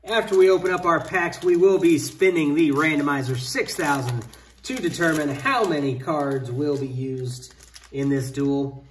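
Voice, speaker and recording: A man in his thirties, a little high-pitched, talks excitedly as he reveals trading cards one after another. He sits at some distance from the mic in an indoor room, so his voice sounds a touch far off. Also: moderate pace, slight background noise. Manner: excitable, hyping each card pull. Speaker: co-host opening card packs. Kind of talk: casual card-opening chat.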